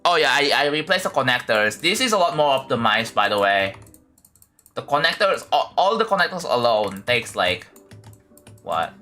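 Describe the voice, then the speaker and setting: A man's voice: medium pitch, sing-song, normal speed, bright, forceful tone, soft background music. A man with an Indonesian accent, chatting casually to his livestream viewers while building a game level and thinking aloud. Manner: thinks aloud, talks to chat, many fillers and restarts.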